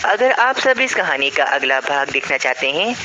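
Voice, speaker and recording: A woman's voice: sits low, sing-song, normal speed, pushed and bright, lo-fi sound, a little hiss or hum. A woman narrating a scripted children's cartoon story as its storyteller.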